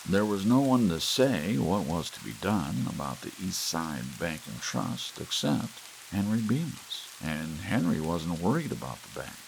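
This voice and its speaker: A man reading a short story aloud in an ASMR audiobook style. He tells it expressively, rising for tense moments and sinking low and soft for quiet ones. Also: big pitch swings, unhurried, vocal fry, few pauses, a little hiss or hum, low-quality recording. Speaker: audiobook narrator. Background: soft rain sounds.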